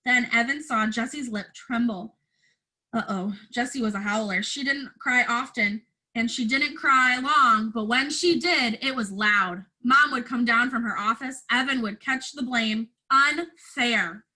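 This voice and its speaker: A woman reading a children's chapter book aloud as narrator, in an expressive teacher's storytelling style. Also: on the high side, measured pace, low-quality recording.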